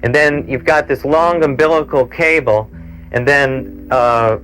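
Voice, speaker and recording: A man in his forties hosting a show, talking informally in a musing, halting, rambling way. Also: medium pitch, a bit bright, soft background music, poor audio. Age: 40s. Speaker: cable TV show host and producer. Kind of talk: informal interview talk and reminiscence. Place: small equipment-packed studio. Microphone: clip-on mic.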